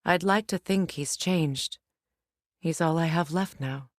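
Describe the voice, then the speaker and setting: An actress playing a proud, battle-hardened middle-aged warrior goddess in a video game, with a measured, commanding, dry manner. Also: female voice, low-pitched, dry recording. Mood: subdued, wistful. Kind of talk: video game character dialogue and battle callouts.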